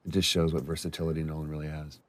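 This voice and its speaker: A man in a relaxed, appreciative commentary chat, speaking as an actor about his own work on set. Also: extremely low pitch, racing, no room sound.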